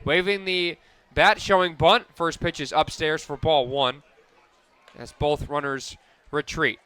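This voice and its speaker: A man calling softball play-by-play for radio, narrating each pitch and play in crisp descriptive detail. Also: steady tone, a little slow. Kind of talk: live softball radio commentary.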